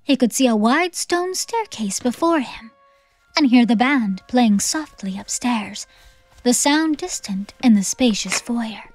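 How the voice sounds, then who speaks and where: A woman's voice: fairly high pitch, lively intonation, a little slow. A woman reading scripted, dramatic story narration as the narrator of an audiobook. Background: background music.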